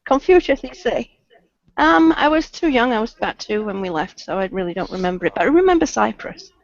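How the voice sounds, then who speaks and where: A woman's voice: on the high side, expressive, fairly quick, creaky. An Englishwoman, a guest on a casual panel talk, giving wry, brief replies. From England. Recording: dry, dull lo-fi audio.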